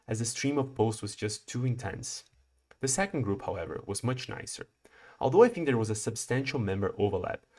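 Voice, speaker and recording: Young brazilian guy walking through his research talk, fairly low voice, steady and explanatory. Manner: measured, explanatory, with occasional restarts. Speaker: PhD student presenting research. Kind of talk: prepared conference talk.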